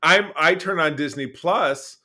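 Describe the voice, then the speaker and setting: A man co-hosting a podcast in casual, opinionated chat, animated and emphatic, often repeating his phrases. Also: a little high, a little slow. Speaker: podcast co-host.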